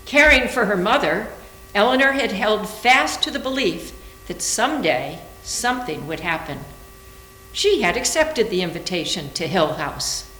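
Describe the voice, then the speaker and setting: A woman's voice: medium pitch, big pitch swings, a little slow, sharp tone. A woman reading scripted literary narration aloud for a readers theater. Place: indoor room, live library reading. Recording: echoey room with faint hum.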